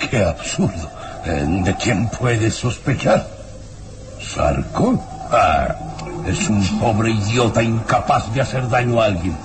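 A slow, haughty, sinister baron. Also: male voice, fairly low pitch, big pitch swings, exaggerated voice. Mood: mocking, defiant. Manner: pompous, menacing, drawn-out phrasing. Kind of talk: old radio serial acting. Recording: noisy old radio drama recording.

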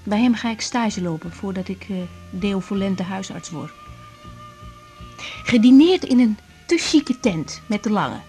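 A woman's voice: medium pitch, expressive, unhurried, soft background music, close to an everyday voice. An actress playing a hesitant young woman doctor. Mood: reflective. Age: young adult. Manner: hesitant, with soft whispered asides. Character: young woman doctor, thoughtful and self-doubting. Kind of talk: 1980s Dutch radio drama.

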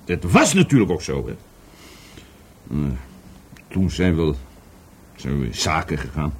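An actor playing an evasive, volatile middle-aged businessman questioned by police in a radio play, husky, stammering and repeating his words. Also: a bass voice, very animated, unhurried, darkish tone, a little hiss or hum, full character voice. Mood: reminiscing. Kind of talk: Dutch radio play acting.

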